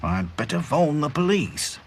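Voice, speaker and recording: A man's voice: fairly high pitch, big pitch swings, measured pace, slight background noise, voice pushed up. A man voicing a grumpy, harried factory boss who frets and complains. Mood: fretful. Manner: fretful, grumbling.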